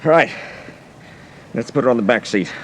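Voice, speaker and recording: A brisk, courteous English gentleman detective in a radio play, acted by a man. His mid-pitched voice moves in animated swings, clipped and decisive, with slight noise behind it. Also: lightly acted, rough recording. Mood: brisk, matter-of-fact. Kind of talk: British radio drama acting.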